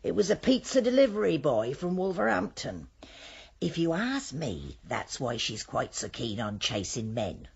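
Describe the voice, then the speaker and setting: An actress playing a chatty, forthright village cleaner in a radio drama, confiding and emphatic, husky, with an English accent. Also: female voice, extremely low pitch, very animated, normal speed, a little mellow, highs cut off, full character voice. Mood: gossipy.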